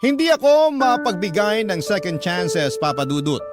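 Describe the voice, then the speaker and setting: A man's voice: sits high, sing-song, normal speed. A man reading a scripted first-person story as a radio host and narrator. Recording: loud music under the voice.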